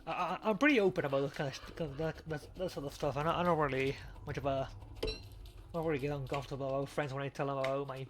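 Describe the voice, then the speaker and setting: A man's voice: mid-range voice, steady tone, rapid-fire, background noise. A man casually chatting as he plays a game on a podcast, joking and rambling with repeated words. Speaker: podcast co-host and game player. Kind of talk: casual gaming chat while playing. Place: remote voice call.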